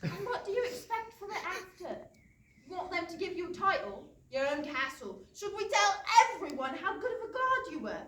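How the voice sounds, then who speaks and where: A flippant, sarcastic castle guard, played by a woman in a comic stage play. The voice is high and swings freely up and down, recorded from a distance in a roomy theatre. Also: big pitch swings, normal speed, pushed and bright, heavily acted. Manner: teasing, sarcastic. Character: flippant, cynical, chatty castle guard who loves birds. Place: studio theatre.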